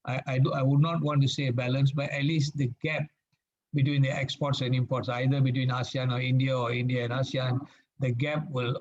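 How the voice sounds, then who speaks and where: A man from Malaysia, giving a formal talk as a guest speaker at a meeting and pausing often with fillers. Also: medium pitch. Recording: dull lo-fi sound.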